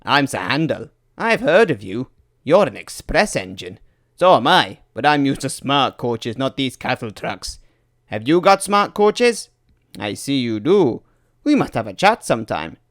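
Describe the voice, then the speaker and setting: A man's voice: mid-range voice, sing-song, unhurried, fairly bright tone, no room sound, clean studio sound. Guy doing a snooty pompous train engine, swoopy delivery, kids show. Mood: condescending. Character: haughty, self-important male express engine.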